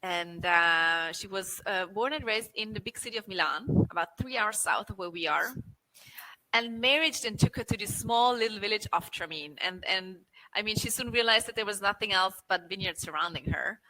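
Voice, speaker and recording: Bright-voiced woman, native German speaker. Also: medium pitch, moderate pace, poor audio. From Alto Adige, northern Italy. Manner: chatty, frequent "right?" tags and restarts. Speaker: winery guest. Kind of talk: casual video-call interview. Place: indoors with a mountain backdrop.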